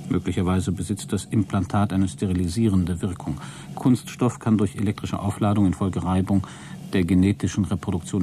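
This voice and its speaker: Actor as deep-voiced, pedantic, embittered man. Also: noisy background, close to an everyday voice. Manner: pedantic, formal, cold. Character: embittered, self-important man keeping a log in a sealed craft. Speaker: radio drama actor. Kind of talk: German radio play acting, spoken logbook monologue.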